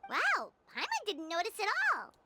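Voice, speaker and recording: A woman voicing a small, childlike, excitable fairy companion in a video game, chatty and squeaky. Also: moderate pace, exaggerated voice. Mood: puzzled, curious. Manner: exclamatory, cutesy, expressive.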